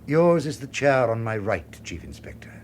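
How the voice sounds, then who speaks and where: An actor in a radio drama playing a suave, gloating master criminal who speaks with ornate, menacing courtesy. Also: male voice, mid-range voice, sing-song, normal speed, darkish tone, natural voice. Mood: gloating politeness. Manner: elaborately courteous, ornate formal phrasing. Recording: faintly noisy radio drama recording.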